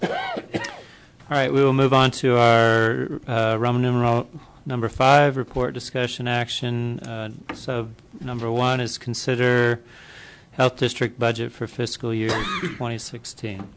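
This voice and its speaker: A man chairs a public board meeting, calling for motions and votes in a brisk, procedural way. Clear clicks and background noise sit over his voice on the room microphone. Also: medium pitch, flat delivery.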